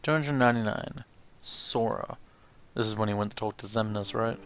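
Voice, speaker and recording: A man's voice: lowish voice, normal speed, a bit bright, smooth. A man hosting a watch-along video, giving casual reaction commentary. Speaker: host of a let's watch video.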